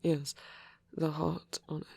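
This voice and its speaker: A man playing a gentle, shy vampire priest in an intimate ASMR roleplay, speaking in a husky half-whisper with a hesitant, apologetic manner. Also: on the high side, little pitch movement, dry recording. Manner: hesitant, halting, apologetic, rambling.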